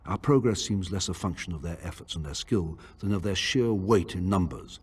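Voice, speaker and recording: A male voice actor playing a grieving, obsessive lord slipping into tyranny, reading his diary aloud in a husky, breathy voice with brooding, theatrical delivery. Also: sits low, darkish tone. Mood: cold, regretful. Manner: brooding, theatrical, deliberate phrasing.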